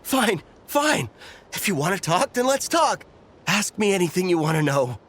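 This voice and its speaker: A man voicing a surly, gruff thug in a video game, curt and dismissive in a slangy way. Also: high voice, sing-song, normal speed, fairly bright tone, exaggerated voice. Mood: flustered, yielding. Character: surly male thug, curt but grudgingly cooperative.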